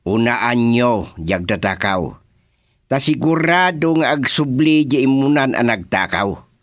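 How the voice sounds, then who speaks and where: A man's voice: medium pitch, expressive, a little slow, no echo, clean studio sound, close to an everyday voice. A man with an Ilocano Filipino accent playing an earnest, easily astonished village chairman and husband in a radio comedy drama. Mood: concerned.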